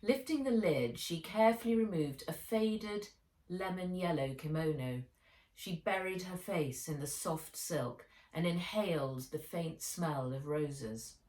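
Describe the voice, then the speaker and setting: A woman reading her own children's novel aloud as narrator, in measured, expressive storytelling. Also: on the low side. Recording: roomy echo, distant microphone.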